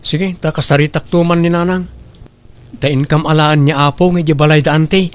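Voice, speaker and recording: A man with a fairly high voice and an Ilocano accent, scolding and reproachful. He delivers lines of an audio comedy drama in plain, firm tones. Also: fairly even intonation, moderate pace, music in the background. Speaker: drama voice actor, husband. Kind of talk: Ilocano radio-style comedy drama acting.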